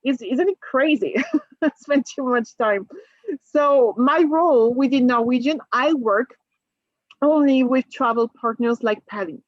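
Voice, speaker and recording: A woman from France with a French accent, a cruise line sales manager presenting on a video call, lively and laughing often. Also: high-pitched, a little slow, dry recording. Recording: dull lo-fi video call audio.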